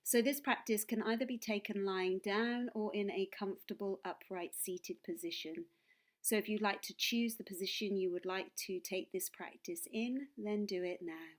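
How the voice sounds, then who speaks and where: A woman leading a guided meditation as a teacher, speaking in a calm, soothing and deliberate way with pauses between phrases. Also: medium pitch.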